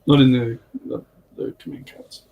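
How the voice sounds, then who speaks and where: A man's voice: normal speed, dark tone, highs cut off. A man speaking casually as a panelist on a hobby livestream.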